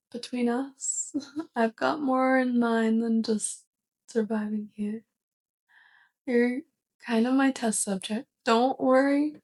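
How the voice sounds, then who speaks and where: Girl doing a sweet awkward alien roommate for asmr, slow and hesitant, mellow kinda higher voice. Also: steady tone. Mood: fond, earnest. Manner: gentle, hesitant, halting delivery. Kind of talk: soft ASMR roleplay.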